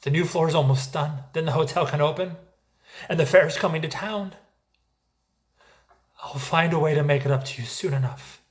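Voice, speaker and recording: A man's voice: a little high, moderate pace, pauses often, natural voice. A man acting a defensive, hard-working husband and father in a musical's dramatic dialogue. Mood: apologetic, reassuring.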